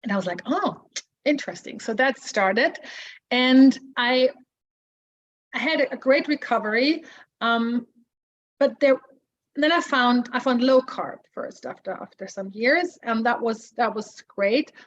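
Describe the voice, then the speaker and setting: A woman's voice: fairly high pitch, a little slow. A woman, sharing her personal story hesitantly, with many restarts. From Austria. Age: 40s. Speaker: support group member. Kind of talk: personal testimony. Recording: muffled lo-fi audio.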